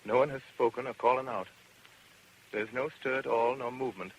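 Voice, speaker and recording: An actor as a solemn announcer in a vintage radio drama. He reports as an eyewitness in measured, poetic phrases at a middle pitch, on a muffled recording with clear background noise. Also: fairly even intonation, lightly acted. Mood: hushed, grave. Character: grave radio announcer witnessing a restless crowd. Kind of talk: 1930s radio drama live commentary. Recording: dull, noisy old radio recording.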